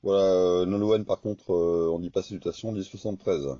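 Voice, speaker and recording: Very deep-voiced man in casual radio chat. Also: fairly even intonation, brisk, warm tone, no echo. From France. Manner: rambling, hesitant, colloquial, often ending on "quoi" and "hein". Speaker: radio amateur operator. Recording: slightly dull, lo-fi radio-chat recording.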